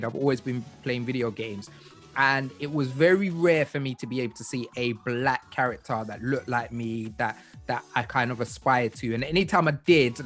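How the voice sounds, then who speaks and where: A man hosting a podcast, chatting casually with slang and enthusiasm. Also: medium pitch. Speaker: podcast host. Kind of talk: casual interview.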